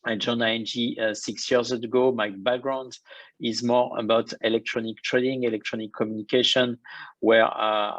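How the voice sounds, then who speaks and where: A man with a French accent, answering interview questions as a bank executive in a measured, orderly way over a video call. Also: medium pitch, no echo, rough recording. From France. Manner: measured, explanatory, lists points in order. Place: home office or room in London.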